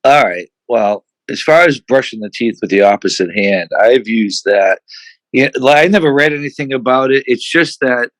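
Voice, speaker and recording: A man's voice: medium pitch, animated, moderate pace, no echo, low-quality recording. An older man from the United States, a podcast guest who talks in a frank, blunt way and swears casually.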